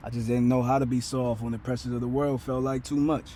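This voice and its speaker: A man's voice: medium pitch, flat delivery, fast talker, mellow, a little hiss or hum, close to an everyday voice. A man playing a guilt-ridden, defensive young boyfriend in an earnest, poetic dramatic monologue. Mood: regretful, apologetic. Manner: poetic, earnest, emotional delivery. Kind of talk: dramatic spoken-word monologue. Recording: clear music playing behind.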